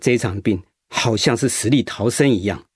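A man playing an earnest, grateful recovering patient in a radio drama, speaking in a reflective, measured way. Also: fairly low pitch, slightly rough, dry recording, clean studio sound.

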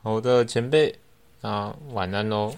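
A man's voice: on the low side, fairly even intonation, measured pace, vocal fry. A teenage boy playing a sly young man who feigns innocence, in an amateur radio drama. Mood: polite.

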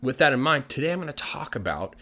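A man's voice: medium pitch, sing-song, fast, sharp tone. A man who is a native English speaker, patiently explaining language points in a casual lesson with lots of examples. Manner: patient, plain-spoken explaining with many examples. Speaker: English teacher.

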